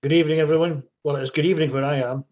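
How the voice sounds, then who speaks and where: A man with a Scottish accent, a life coach, introducing himself on a video call in a brisk, friendly way. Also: a little high, fairly even intonation, a little mellow.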